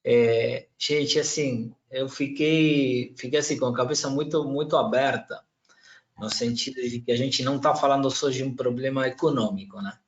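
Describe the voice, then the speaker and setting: Argentine man, mid pitch, chatty panel moderator on a video call, echoey room. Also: fairly even intonation, normal speed, lo-fi sound. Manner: chatty, loose, many fillers. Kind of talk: hosting a live online panel.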